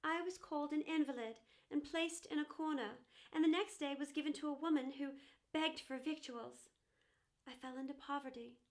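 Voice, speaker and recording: Proud lil porcelain teapot lady reading it for kids, high voice, grand and emphatic, bit wistful. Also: moderate pace. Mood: wounded. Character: proud, self-important porcelain teapot, later humbled and wistful. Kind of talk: children's storybook character narration.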